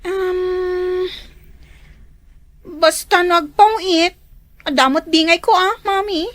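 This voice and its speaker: High-voiced cheeky young daughter. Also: female voice, slow, fairly bright tone, exaggerated voice. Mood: cheeky, bargaining. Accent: Ilocano. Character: cheeky young daughter, money-minded and nosy. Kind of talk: radio drama acting.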